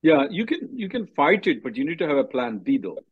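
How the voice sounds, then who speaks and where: Blunt man, husky, muffled call audio. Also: a little high, very animated, a little fast. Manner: blunt, directive, repeats himself. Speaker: immigration attorney hosting a call-in. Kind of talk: question-and-answer advice call. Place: conference call. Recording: dull lo-fi conference call audio.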